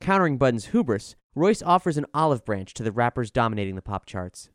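A man narrates a scripted music review in a medium-pitched voice. His delivery is measured and articulate, with formal phrasing. The recording is dry and close, with no room sound. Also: big pitch swings, no room sound. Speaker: album reviewer.

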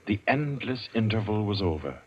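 An actor as a solemn, measured storyteller narrating a vintage radio suspense drama. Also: male voice, lowish voice, big pitch swings, breathy edge. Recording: dull old radio recording. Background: music.

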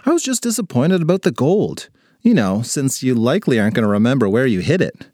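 A man's voice: mid-range voice, sing-song, fairly quick, a little mellow, dry recording, clean studio sound. A man voicing a headstrong, sarcastic fifth-grade girl with a dramatic manner in a children's story. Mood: matter-of-fact. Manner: exasperated, dramatic delivery.

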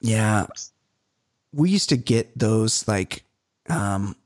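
Rambling, animated male podcast co-host. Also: on the low side, drawn-out words. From California. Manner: rambling, animated storytelling with many fillers. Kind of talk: casual chat.

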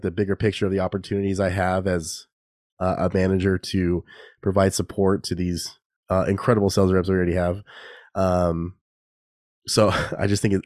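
A man's voice: low voice, a little mellow, no room sound, clean studio sound. A young man hosting a casual solo podcast, stuffed up and a bit nasal from a cold, rambling and laughing at himself. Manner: rambling, self-deprecating, laughs often. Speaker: podcast host. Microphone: new podcast microphone.

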